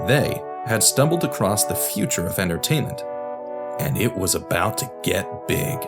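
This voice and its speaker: A man's voice: low voice, expressive, normal speed, loud music behind the voice. A man hosting a podcast, reading scripted narration with wry, informal asides. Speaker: podcast host.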